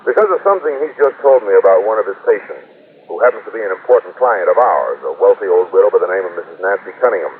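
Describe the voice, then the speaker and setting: A man's voice: deep voice, very animated, quick pace. An actor playing a chummy, persuasive American insurance man in a 1960s radio drama, glib and teasing. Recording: tinny, slightly noisy old radio broadcast.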